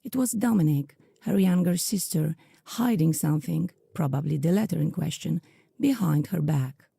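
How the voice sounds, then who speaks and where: A woman narrates a scripted story in a very dark, soft tone. Her voice sits fairly low and moves expressively, with heavy vocal fry. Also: expressive, normal speed, dry recording. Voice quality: very husky, creaky, soft.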